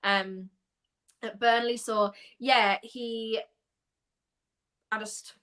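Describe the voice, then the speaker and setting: A young woman from North East England chatting casually about football as a guest, animated and quick to laugh. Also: fairly high pitch. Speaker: guest fan.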